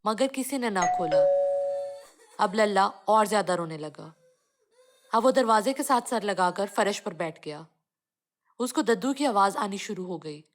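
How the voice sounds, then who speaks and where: A woman reading a scripted children's cartoon story as the narrator. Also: medium pitch.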